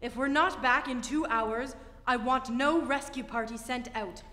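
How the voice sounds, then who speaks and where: A woman's voice: a little high, sharp tone. Woman playing a stern spaceship captain, echoey hall. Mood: commanding. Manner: brisk, authoritative, giving orders. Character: stern, commanding spaceship captain leading a Mars landing party. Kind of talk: live stage re-enactment of old radio drama. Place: theatre stage in live performance. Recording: echoey hall, stage recording.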